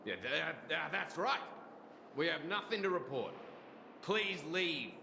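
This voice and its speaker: An actor playing a nervous, bumbling young guard who hesitates and stammers while feigning bravado in a video game. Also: male voice, a little high, very animated, a little slow, creaky, background noise, exaggerated voice. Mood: flustered. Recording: echoey, under loud game music.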